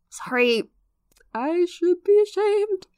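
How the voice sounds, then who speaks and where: A woman's voice: extremely high pitch, darkish tone, no room sound, clean studio sound, heavily acted. A woman playing a self-deprecating, nerdy podcaster in her twenties, rambling chattily with hesitant asides in an audio drama. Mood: jokey, sheepish. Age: 20s.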